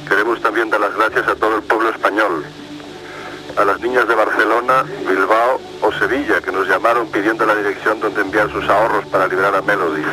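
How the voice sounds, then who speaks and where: A man's voice: fairly low pitch, steady tone, fairly quick. A man from Lebanon formally reading out a statement of thanks. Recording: noisy archive recording.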